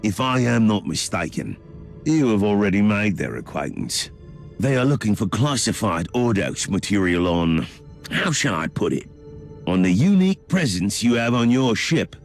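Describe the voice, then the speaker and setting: An actor playing a self-assured, scheming Inquisitor in a video game, with a formal, wordy and wryly theatrical delivery. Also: male voice, fairly low pitch, very animated, moderate pace, vocal fry, faint music behind. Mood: pompous, measured. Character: smooth-talking, wry male Inquisitor agent, self-assured schemer. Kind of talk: video game cutscene acting.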